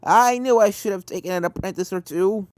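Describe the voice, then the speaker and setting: A woman's voice: mid-range voice, brisk, a little mellow, no echo, lightly acted. A woman voicing a brave, kindly old male unicorn ghost hunter in a story reading, hearty and reassuring, with music behind. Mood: weary relief. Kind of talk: fan fiction story reading.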